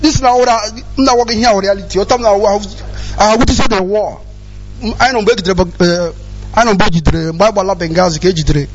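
High-pitched, fast-talking man. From Nigeria. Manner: agitated, repetitive, often asks for understanding. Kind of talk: impassioned personal testimony at a gathering. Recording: dull lo-fi sound with music behind.

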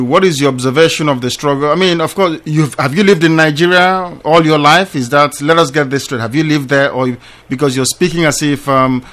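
A man's voice: on the high side, fairly bright tone. Formal male call-in radio host. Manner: formal, measured questioning.

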